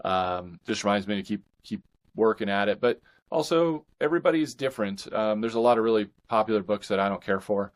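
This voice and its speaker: Man, low voice, podcast guest, author, casual interview chat. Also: brisk.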